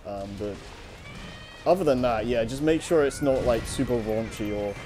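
Guy cohosting a show, halting casual chat, medium pitch, music clearly playing behind him. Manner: hesitant, halting delivery. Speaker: co-host of a story-reading show. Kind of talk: casual unscripted chat over gameplay.

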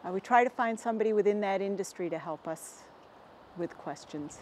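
An American woman in her forties, a dairy farmer answering interview questions in a plainspoken, halting and measured way. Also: mid-range voice, darkish tone, faint music behind. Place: inside a barn with hay bales. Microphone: lapel mic.

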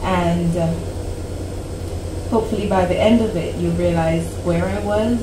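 A woman of about forty reading her own poem aloud at a cafe open mic, slow and deliberate with dramatic pauses. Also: fairly low pitch, fairly even intonation. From Canada. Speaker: poet. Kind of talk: poetry reading. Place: small cafe stage before a seated audience. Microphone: stand mic, recorded by a camera at the back. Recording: roomy, distant camera audio with hum.

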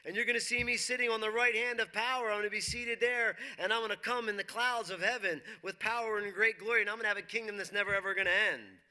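A man's voice: sits high, fairly even intonation, fast, hardly any pauses, pushed and bright. A man in his forties preaching a sermon on a church stage, emphatic, reading scripture aloud and asking the congregation questions. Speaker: pastor. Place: church stage, dark brick wall behind.

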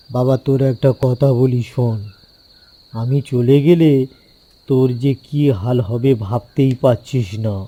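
A man's voice: mid-range voice, warm tone. A man voicing a worried, patient older village father giving advice in a cartoon.

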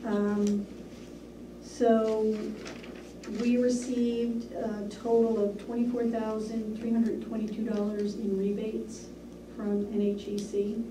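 A businesslike woman talking through town business in a measured way, often pausing on an um. She sounds far off, in an echoey room. A steady hiss sits under her voice. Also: on the high side. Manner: measured, businesslike, with frequent ums. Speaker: town administrator. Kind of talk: reporting at a board meeting. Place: small municipal meeting room. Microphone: far room camera.

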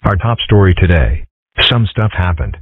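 A man voicing a mild, composed young boy fox in an amateur comic dub, with a deadpan delivery. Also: low voice, normal speed, no room sound. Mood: formal. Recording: muffled, phone-like narrow sound.

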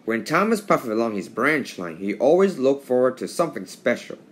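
A man reads a children's storybook aloud. His pitch moves around a lot, and he often trips over words and goes back to repeat them. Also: very animated, moderate pace. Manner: stumbles and repeats words while reading. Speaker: storybook reader.

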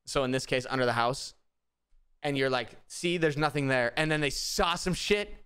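Young guy doing a live reaction, chatty, thinking out loud, close desk mic. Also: medium pitch, moderate pace, smooth. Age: young adult. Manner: informal, musing aloud. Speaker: streamer reacting to a horror video. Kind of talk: casual live reaction and reading aloud. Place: small indoor room at a desk. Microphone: desk microphone close to the mouth.

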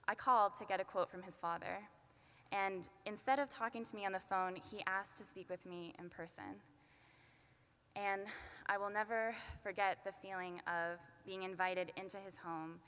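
A young woman in her twenties giving a commencement speech at a podium, earnest and measured with light self-deprecating asides. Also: on the low side, fairly bright tone. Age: 20s. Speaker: student commencement speaker. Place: large arena at a podium. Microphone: podium microphone. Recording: long arena reverb.

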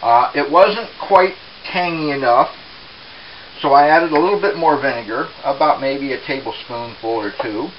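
A man presenting a casual home cooking demonstration in an unhurried, explanatory way, recorded in a kitchen. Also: mid-range voice. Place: small home kitchen, indoors. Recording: dull, hissy home camera audio.

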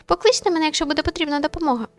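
Woman acting bright, chatty, high-pitched young lady. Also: very fast, lightly acted. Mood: concerned. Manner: animated, eager, friendly pressing.

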